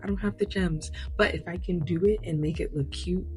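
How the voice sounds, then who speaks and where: Brief: low-voiced woman with a New York accent, verbose and bougie, makeup chat with faint music. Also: a little fast, a little mellow. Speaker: vlogger. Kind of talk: chatty commentary while doing makeup. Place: home glam room.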